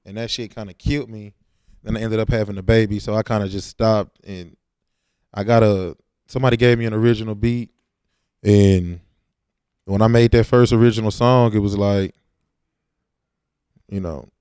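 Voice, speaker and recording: A man's voice: lowish voice, steady tone, moderate pace, long pauses, darkish tone, smooth, no echo, studio quality. A young man, talking casually and slangily as a rapper in an interview. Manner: informal, slangy.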